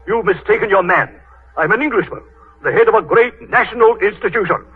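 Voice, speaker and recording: An actor playing a pompous, stubborn English bank chief in a 1930s radio drama, blustering and indignant. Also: male voice, sits high, fairly quick, a little hiss or hum, lightly acted. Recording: tinny, band-limited 1930s radio broadcast.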